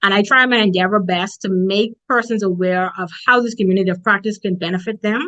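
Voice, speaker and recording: A woman's voice: medium pitch, steady tone, fairly quick, bright, forceful tone, lo-fi sound. Woman with a strong Bahamian accent. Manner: direct, emphatic, conversational. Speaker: conference speaker. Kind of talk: motivational presentation.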